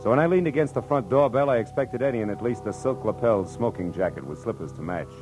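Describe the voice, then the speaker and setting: An actor playing a wry, world-weary private detective narrating in a 1940s radio drama style. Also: male voice, mid-range voice, fast, close to an everyday voice. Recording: old radio recording with music.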